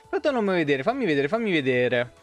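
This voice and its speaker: A man's voice: a little high, sing-song, fast, faint music behind. A man in his thirties chatting casually on a live game stream, rambling and swearing freely, close to a desk microphone. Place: small room at home. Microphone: close desk microphone on an arm.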